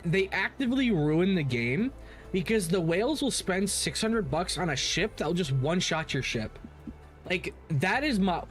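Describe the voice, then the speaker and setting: A man with a slightly high-pitched voice hosts a casual gaming livestream. He rambles through his opinions and swears freely as he talks to chat. Faint music plays behind him. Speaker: livestream host. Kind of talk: casual livestream commentary on games, answering chat.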